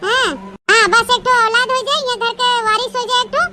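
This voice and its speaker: A woman's voice: very high voice, fairly even intonation, fairly quick, pushed and bright. A woman with an Awadhi accent voicing a bossy, spiteful senior wife who scolds and taunts in a comic cartoon. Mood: domineering. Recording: noisy, hissy voice track.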